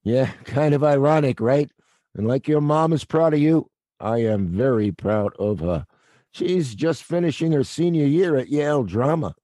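A man voices a middle-aged night watchman in an audio play, gruff on the surface and fatherly underneath. He talks in a wry, world-weary way, at a medium pitch. The sound is dry and close. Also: lively intonation, normal speed, dark tone, no echo, close to an everyday voice. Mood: confiding.

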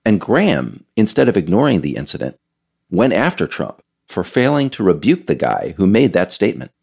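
An American man reading scripted narration in a measured, emphatic storytelling way. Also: low voice, very animated, vocal fry, a little mellow, husky. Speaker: author reading own work, narrator.

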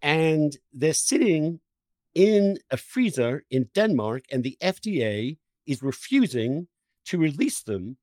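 A man's voice: on the high side, sing-song, slow, a little mellow, no room sound. Emphatic male interviewer, dry close sound. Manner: emphatic, piling up adjectives and repeating words for stress. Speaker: podcast host interviewing a guest. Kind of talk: impassioned conversational interview.

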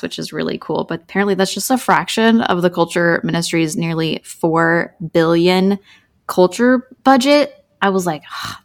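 A woman's voice: lowish voice, gravelly. A woman co-hosting a podcast in a casual, chatty style, colloquial and leaning on emphatic words. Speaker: podcast co-host.

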